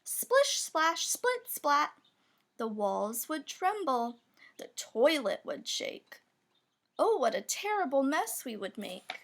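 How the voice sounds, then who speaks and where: High, expressive woman reading a children's rhyme. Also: takes it slowly. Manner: expressive, playful emphasis on sound words. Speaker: storybook reader. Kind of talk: children's picture book read-aloud, rhyming verse.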